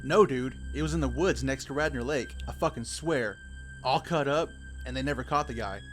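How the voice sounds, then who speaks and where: A man's voice: medium pitch, moderate pace, soft background music, lightly acted. A man playing a hefty, irritable young rock musician in a horror story, complaining in profane slang. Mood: alarmed. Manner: griping, profane slangy talk. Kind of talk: dramatized horror audiobook dialogue.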